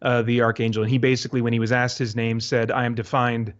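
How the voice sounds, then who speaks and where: A man being interviewed casually as a guest, speaking in a halting way as he thinks aloud. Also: medium pitch, little pitch movement, clear, resonant tone, muffled recording. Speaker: interview guest, audio drama creator.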